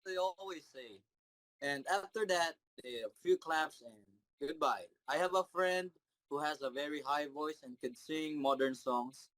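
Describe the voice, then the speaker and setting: A young man, answering interview questions in a shy, halting way with many fillers. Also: a little high, a bit bright, no echo, poor audio. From Philippines. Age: 20s. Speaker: interview guest, singer.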